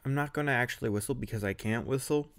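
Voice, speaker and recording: A man's voice: mid-range voice, quick pace. Casual, chatty man between recording takes. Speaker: audio drama director and voice actor. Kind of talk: casual behind-the-scenes bloopers chat.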